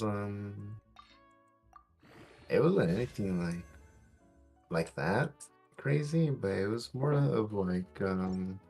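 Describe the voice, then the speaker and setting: A woman's voice: rumbling low, vocal fry, darkish tone, breathy edge. A woman chatting casually as a companion on a game livestream. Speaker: streamer's chat companion.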